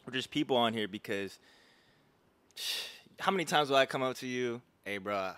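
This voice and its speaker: A man's voice: mid-range voice, normal speed, a bit bright, clean tone, no echo. A man in his twenties hosting a podcast, talking in a casual, slangy, playfully teasing way. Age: 20s. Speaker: podcast host. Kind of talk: casual interview chat.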